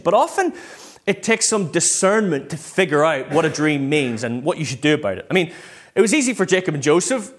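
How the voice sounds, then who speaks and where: A man's voice: a little high, a bit bright. A man with a Northern Irish accent giving an informal, conversational sermon full of personal stories and bits of acted-out dialogue. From Northern Ireland. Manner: conversational, self-quoting, acts out short bits of dialogue. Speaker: preacher.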